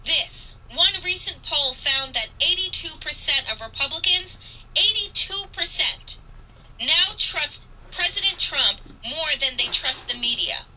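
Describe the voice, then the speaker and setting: A woman's voice: high-pitched, animated, bright, forceful tone, recorded from a distance, rough recording. A woman radio host giving impassioned political commentary, reading aloud and stressing key phrases with earnest, emphatic repetition. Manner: emphatic, earnest, deliberate delivery with dramatic repetition. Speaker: radio talk show host.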